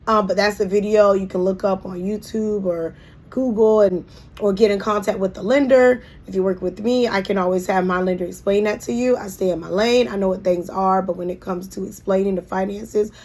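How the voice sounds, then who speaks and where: A woman real estate agent talks through financing choices in a relaxed, conversational way. Her voice is hoarse, and she pauses often with ums and restarts. She sounds friendly and down to earth. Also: mid-range voice. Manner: chatty and warm, with frequent ums and restarts. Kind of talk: casual explainer video.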